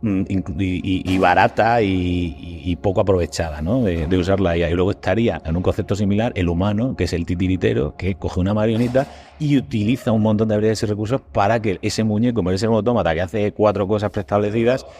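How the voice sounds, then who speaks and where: Male host from a casual podcast, Spain Spanish accent, deep register, rapid animated talk. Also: soft background music.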